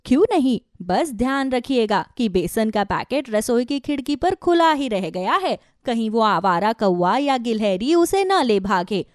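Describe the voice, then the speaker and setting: A woman's voice: fairly high pitch, very animated, a little slow, hardly any pauses, no echo, clean studio sound. A woman playing a proud, chatty woodcutter's wife in a children's cartoon. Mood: cautioning. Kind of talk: Hindi children's cartoon voice acting.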